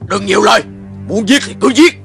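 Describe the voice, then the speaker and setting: Proud, defiant commander, high-pitched. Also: male voice, brisk, creaky, a bit bright, faint music behind. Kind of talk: Vietnamese TV dub of an animated historical war epic.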